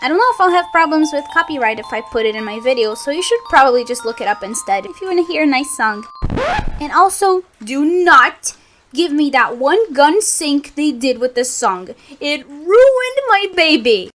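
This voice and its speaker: A woman's voice: high-pitched, sing-song, moderate pace, fairly bright tone, soft background music. A young woman, a voice actress chatting playfully through a fan Q&A. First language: Brazilian Portuguese. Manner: bubbly, playful, giggly. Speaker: voice actress and channel host. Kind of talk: casual Q&A chat.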